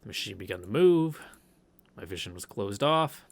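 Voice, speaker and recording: A man's voice: on the low side, very animated, normal speed, vocal fry. A man hosting a visual novel read-through, mixing casual, rambling commentary with reading aloud. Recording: game music under the voice. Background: game background music.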